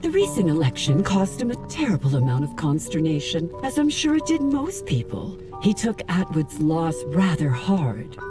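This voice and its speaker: A prickly old widow in a game, low voice that swings up and down a lot, curt and offended, game music playing behind her. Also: big pitch swings, normal speed, hardly any pauses. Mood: guarded. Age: older. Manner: curt, indignant, formally spoken. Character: proud, prickly older widow in mourning. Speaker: grieving widow of a politician. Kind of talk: video game voice acting.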